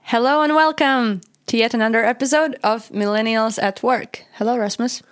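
A woman's voice: a little high, normal speed, over music. A young woman from Latvia, a native Latvian speaker, co-hosting a casual podcast in a chatty, laughing, self-deprecating way. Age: young adult. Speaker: podcast co-host.

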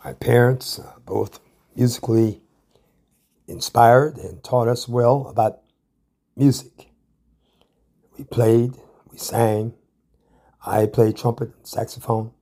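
An American man telling his own life story as a guest storyteller, with a measured, halting delivery. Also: mid-range voice, fairly even intonation, mellow. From Ann Arbor, Michigan, USA. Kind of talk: autobiographical storytelling.

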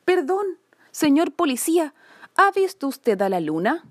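Woman playing a high, earnest little girl. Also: big pitch swings, unhurried, no room sound, clean studio sound. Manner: polite, plaintive, earnest. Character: earnest, brave, wondering little girl searching sadly. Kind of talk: children's storybook character voice.

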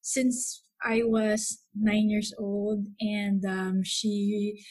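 A woman, talking thoughtfully as a podcast host with many pauses. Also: medium pitch, level tone, a little mellow. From Philippines. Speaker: psychotherapist. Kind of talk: casual podcast chat.

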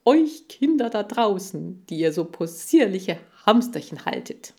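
A woman voicing a pompous, boastful male television salesman in a children's story, with a drawn-out showman's delivery. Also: medium pitch, big pitch swings, gravelly, a little mellow. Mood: pompous, promotional.

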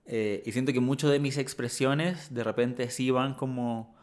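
A man speaking as a poet guest in a reflective conversation, thoughtful and halting with many restarts. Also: medium pitch, darkish tone.

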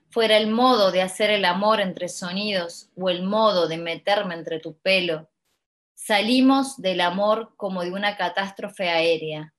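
A woman co-hosting a radio show, reading a poem slowly and intimately. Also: mid-range voice, a bit bright. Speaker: co-host reading poetry. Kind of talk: slow poetry reading.

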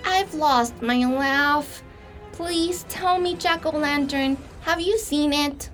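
A woman voicing a small, anxious male elf who pleads slowly and softly in a storybook reading. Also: faint music behind. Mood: pleading. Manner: slow, high and pleading.

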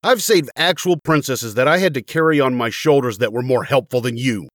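A man voicing a hot-tempered, exasperated knight, gruff and sarcastic, in a fantasy audiobook. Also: medium pitch, a little fast, a bit bright, no echo, studio quality, close to an everyday voice.

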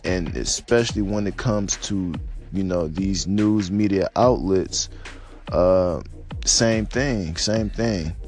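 An American man with a slightly raspy voice talking casually and unscripted, in a hesitant, laid-back, rambling way. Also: low-pitched, takes it slowly, darkish tone, faint music behind. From United States. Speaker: podcaster or vlogger giving personal commentary. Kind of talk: casual unscripted monologue on news and life.

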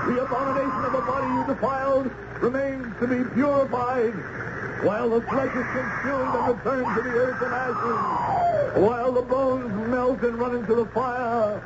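A man's voice: very high-pitched, level tone, normal speed, dull audio. An actor playing an imperious, fanatical Puritan witch-hunter in an old radio drama, with pompous, declamatory, sermon-like delivery. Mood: condemning. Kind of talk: old-time radio drama acting, archaic sermon-like oratory. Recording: noisy, band-limited old radio recording.

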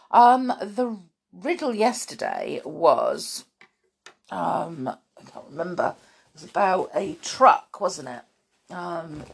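A middle-aged woman with a croaky morning voice, chatting informally to young listeners before a story, full of ums and small laughs. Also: on the low side. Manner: warm chatty aside-filled talk with many ums and little laughs. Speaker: children's podcast host and storyteller. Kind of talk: chatty spoken intro to children's story podcast.

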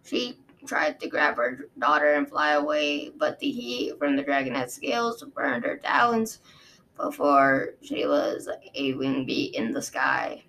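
A woman voicing a grave male dragon guard, speaking low and measured. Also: big pitch swings, fairly bright tone, a little hiss or hum, full character voice, low-quality recording. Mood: earnest. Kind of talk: audiobook character reading.

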